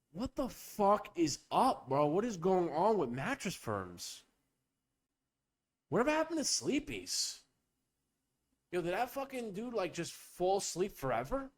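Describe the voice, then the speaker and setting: Animated, profane young man riffing. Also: fairly high pitch. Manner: profane, riffing, playful bits with voices. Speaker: podcast host. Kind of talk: rambling comedic podcast chat.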